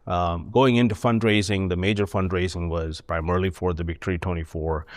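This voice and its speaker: A middle-aged man from India with an Indian accent, a party chairman answering interview questions in a relaxed, rambling way with many fillers. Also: sits low. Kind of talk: conversational interview.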